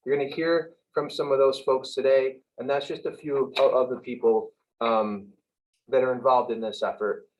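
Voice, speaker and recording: A man's voice: medium pitch, fairly even intonation, normal speed. A man speaking for a community group, earnest and restarting words as he presents. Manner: earnest, repeats words while searching. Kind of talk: presentation on a video call. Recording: dull lo-fi audio.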